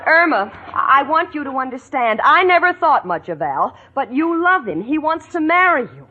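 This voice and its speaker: Sensible polite young woman, high lively voice, exasperated, earnest, old radio comedy, muffled recording. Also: moderate pace, a little hiss or hum. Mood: exasperated, urgent. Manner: hesitant politeness, earnest pleading. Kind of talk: 1940s radio sitcom acting.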